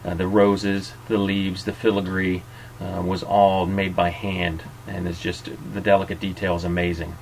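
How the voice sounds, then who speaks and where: A man's voice: deep voice, little pitch movement, normal speed, low-quality recording. A middle-aged man hosting and narrating an informal guided tour. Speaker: host and narrator of a restaurant tour.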